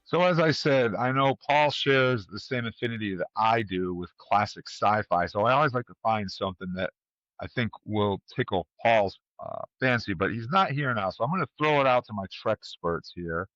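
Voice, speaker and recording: A man's voice: on the low side, expressive, normal speed, fairly bright tone, no room sound. Guy hosting a casual trivia podcast, dry close sound, bit muffled lo-fi mic. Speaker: podcast host running a trivia quiz. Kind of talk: casual chat and quiz hosting.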